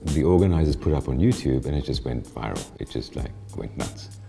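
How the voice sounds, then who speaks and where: A man answering interview questions, telling his story in an animated way with frequent restarts. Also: extremely low pitch, fairly quick, vocal fry, music in the background. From South Africa. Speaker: interviewee, honoree.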